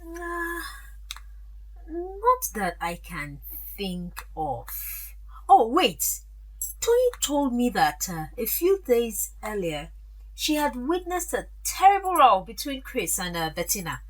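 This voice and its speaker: An actress playing a wealthy, gracious older aunt in an audio drama, chatty and confiding, with a hesitant, deliberate delivery. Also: female voice, on the high side, sing-song, fairly bright tone, exaggerated voice, low-quality recording. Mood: confiding, animated.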